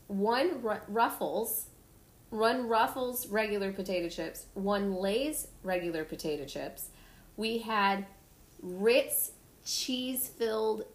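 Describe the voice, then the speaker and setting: A woman hosting a podcast, telling stories in a casual, animated way and acting out the people in them. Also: medium pitch. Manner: animated storytelling, acts out kids' voices. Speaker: podcast host. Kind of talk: casual chat. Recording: echoey room.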